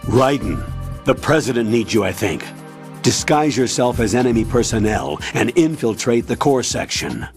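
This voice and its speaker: A man voicing a stern military commander in a game, giving deliberate, methodical mission instructions. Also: medium pitch, animated, natural voice. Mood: serious, instructive. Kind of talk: dramatic game mission briefing. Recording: game dialogue over faint music.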